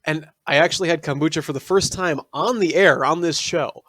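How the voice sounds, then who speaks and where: A man co-hosting a casual podcast, reviewing drinks in a wry, deliberate way. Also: a little high, fairly bright tone, dry recording. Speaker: podcast co-host. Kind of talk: casual chat. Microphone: studio mic.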